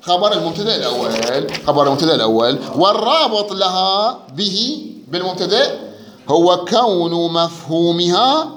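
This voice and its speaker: A man with an Iraqi Arabic accent teaching a grammar lesson, drilling students with quick questions and repeating key words. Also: high-pitched, animated, a bit bright. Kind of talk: classroom grammar lesson with student back-and-forth. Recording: echoey classroom.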